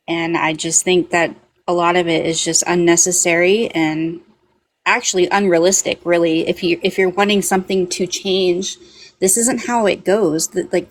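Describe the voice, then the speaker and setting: A woman, a mother, speaking earnestly on a livestream as a guest, often hesitating and repeating words. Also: on the low side. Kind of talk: casual livestream talk.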